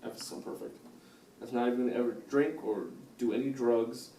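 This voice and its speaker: A man's voice: mid-range voice, steady tone, brisk, warm tone, natural voice. A man playing a defensive sixteen-year-old son in family therapy, in an amateur role-play. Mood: aggrieved, complaining. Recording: roomy, distant mic with faint noise.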